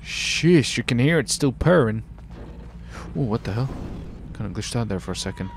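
A man's voice: medium pitch, animated, normal speed, vocal fry, slight background noise. A man chatting and commentating in character in a game roleplay, casual and exclamatory, with some swearing. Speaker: gamer, roleplay video host. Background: game ambience and vehicle sounds.

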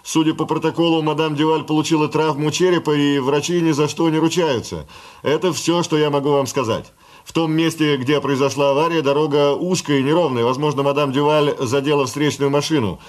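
Higher-pitched male bearer of bad news acted. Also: fairly even intonation, a little fast, close to an everyday voice. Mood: matter-of-fact. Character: talkative male official breaking bad news of an accident. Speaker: actor in a radio play. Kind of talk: radio drama acting.

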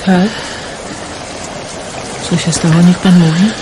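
An actress playing an anxious middle-aged aunt in a radio drama, fretful and pleading. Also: female voice, on the low side, fairly even intonation, takes it slowly, background noise. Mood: urgent. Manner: fretful, pleading, breaking into whispers.

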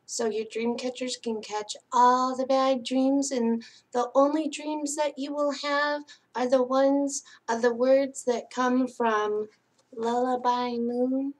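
Casting: woman, middle-aged, high-pitched voice, slow delivery, gentle children's storyteller and host. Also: steady tone. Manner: gentle, singsong, addressing young children. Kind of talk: children's picture-book read-aloud. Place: small indoor space hung with curtains and string lights.

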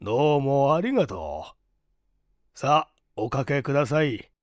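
Man playing stout old master of the house, genial, courteous, slow, expressive, audiobook character. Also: medium pitch, dry recording, clean studio sound, close to an everyday voice. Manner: courteous, slow, deliberate old-fashioned speech.

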